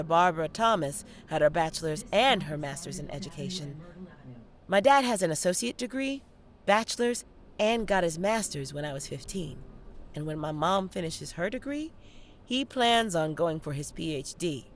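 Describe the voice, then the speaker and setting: A woman's voice: fairly low pitch, lively intonation, normal speed, a little hiss or hum. A young woman with an American accent acting a savvy theater student in an audio play, sardonic and theatrical as she talks to the audience. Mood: candid, proud. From Detroit, United States. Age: young adult. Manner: theatrical, sardonic, swinging between confiding and performing. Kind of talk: dramatic monologue to the audience.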